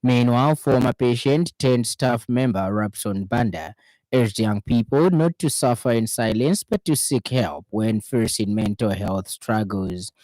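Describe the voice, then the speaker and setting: A male news reader with a Zambian accent delivers a formal radio bulletin. His voice sits at a medium pitch, and he works through the script in measured phrases. The sound is dry, with very little room echo. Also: darkish tone.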